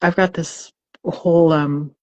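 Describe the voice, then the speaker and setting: A woman's voice: low-pitched, fairly even intonation, takes it slowly, darkish tone, no room sound. A woman co-hosting a poetry reading, talking casually and expressively as she searches for her words.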